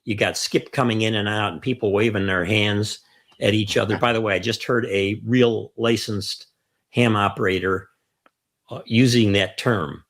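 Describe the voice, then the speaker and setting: A man's voice: lowish voice, steady tone, moderate pace, a bit bright. An older man with an American accent, chatting through an explainer as host and stressing key phrases. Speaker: host of a ham radio show.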